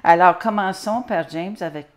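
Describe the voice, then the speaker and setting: Low-voiced woman hosting a studio interview. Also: normal speed. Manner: friendly questioning with "euh" fillers. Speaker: host, interviewer.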